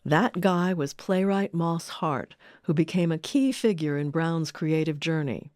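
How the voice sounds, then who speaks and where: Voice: mid-range voice, measured pace, darkish tone, no echo, soft background music. A documentary narrator reading a scripted history.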